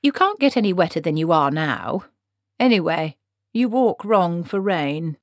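A woman's voice: lowish voice, expressive, unhurried, no room sound, studio quality. Woman putting on a stubborn, bossy old witch. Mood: brusque, bossy. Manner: blunt, folksy commanding speech.

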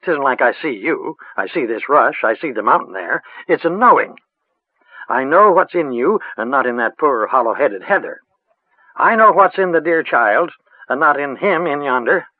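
A woman's voice: low voice, animated, normal speed, breathy edge, no room sound, voice pushed up. A man voicing an old female village witch who speaks in a rustic, sing-song dialect, over a tinny, phone-like line. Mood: musing. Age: older.

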